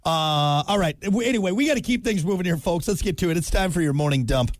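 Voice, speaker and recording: A young man with a gravelly, scratchy voice, co-hosting a morning radio show in casual, joking banter and laughing often. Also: high voice, fast, no echo, studio quality. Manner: jokey, animated, laughs often.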